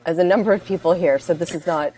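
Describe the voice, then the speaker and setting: A woman's voice: fairly low pitch, fast, dark tone, poor audio. A woman introducing herself as a chapter author on a video call, upbeat. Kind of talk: casual self-introduction.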